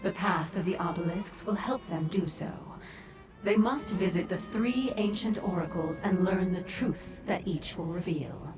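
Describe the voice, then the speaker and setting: A woman's voice: on the low side, a little slow, lightly acted. A woman playing an imperious, solemn demon clan leader in a fantasy video game, speaking in a stately, formal, commanding way. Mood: solemn, instructive. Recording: echoing voice over game music.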